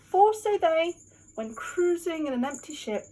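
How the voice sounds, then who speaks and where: A woman giving a theatrical, mock-scholarly dramatic reading of a classic novel, with emphatic delivery and a husky voice. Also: very high voice, animated, measured pace, mellow, poor audio. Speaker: amateur reader.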